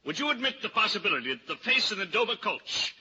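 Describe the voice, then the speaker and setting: A cunning, pompous male barrister in an old radio drama. His voice is high and cuttingly bright, with grand courtroom declamation. The sound is band-limited, with faint noise. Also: very animated, fast talker, breathy edge, exaggerated voice. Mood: probing, formal. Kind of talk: vintage radio drama acting. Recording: dull, noisy old-time radio recording.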